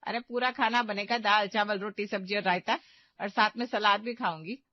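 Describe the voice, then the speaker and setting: A woman voicing a haughty, demanding middle-aged mother-in-law in a cartoon, sharp-tongued, gossipy and sarcastic. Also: medium pitch, steady tone, normal speed, no room sound, dull audio, close to an everyday voice. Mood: gossipy scorn. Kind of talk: animated moral-story cartoon acting.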